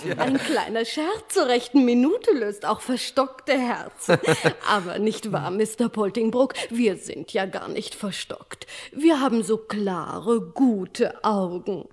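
An actress playing a sweetly pious, eager women's officer in a comic radio serial, with a sugary, winking manner. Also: female voice, medium pitch, expressive, slow, few pauses. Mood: coyly sweet. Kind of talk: comic German radio play acting.

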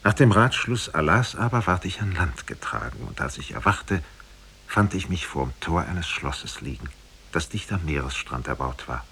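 A man's voice: a bass voice, animated, moderate pace, bright, forceful tone, slight background noise, heavily acted. An actor playing a learned former prince who recounts his adventures formally in a 1950s radio play. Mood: solemn recounting. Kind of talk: 1950s German radio drama storytelling.

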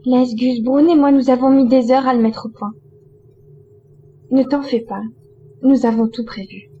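Actress as a high-voiced, strong-willed young woman. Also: steady tone, unhurried. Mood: determined. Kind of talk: audio drama acting. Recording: dull, echoey hall reverb over music.

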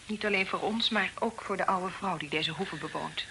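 An actress playing a proud, guarded young daughter of a fugitive in a period radio drama, speaking formally with spirit. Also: female voice, lowish voice, moderate pace, sharp tone. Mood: subdued, guarded. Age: young adult. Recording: noisy old radio drama recording.

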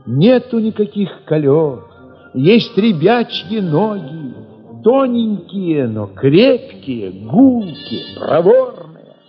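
A man narrating an audio play in the first person, expressive and theatrical, with emphatic pauses. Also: high voice. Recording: muffled, long reverb, music underneath.

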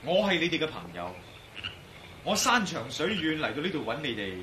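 A man acting a resolute, wary yet reassuring adventurer in a radio drama, with a Hong Kong Cantonese accent, speaking in colloquial, expressive monologue. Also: a little high, fairly quick, a little hiss or hum. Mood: calm reassurance. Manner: colloquial, self-talking, expressive.

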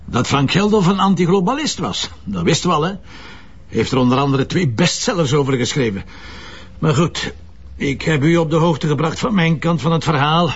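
A man playing a blunt, impatient Flemish police commissioner in a crime drama, gruff and sardonic, with a husky voice. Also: fairly high pitch, animated, moderate pace, lo-fi sound. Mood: irritated.

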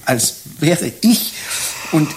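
An actor playing a naive, insecure young man in love in a radio play, earnest and halting, often repeating himself. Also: on the high side, big pitch swings, exaggerated voice. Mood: anguished, hesitant.

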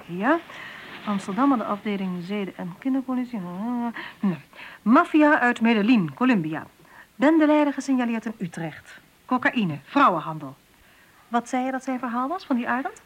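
A woman's voice: a little high, expressive, normal speed, rough recording. A woman playing a world-weary, ironic and brusque police inspector with cultured airs in a Dutch radio play. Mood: brisk, sardonic.

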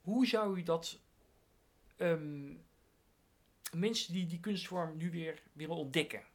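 A man's voice: on the high side, slow. A man asking interview questions politely, with hesitant, searching phrasing.

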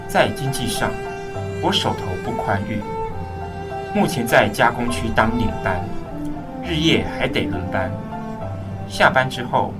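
A male actor as a troubled eldest son telling his family story in a radio drama. His mid-pitched delivery is solemn and earnest, set slightly back from the mic with music clearly behind it. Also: animated, a little slow, long pauses, recorded from a distance, lightly acted. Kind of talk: scripted dramatic first-person narration.

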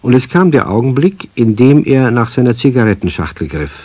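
A man narrating a children's radio play as a calm, measured storyteller. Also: mid-range voice, breathy edge, no echo.

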